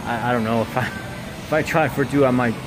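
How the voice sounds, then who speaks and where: A man's voice: medium pitch, a little fast, poor audio. A man in his thirties talking casually and candidly to camera, self-critical, recorded on a phone at arm's length in a gym. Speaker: gym vlogger. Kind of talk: casual motivational chat to camera. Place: large indoor gym. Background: loud music.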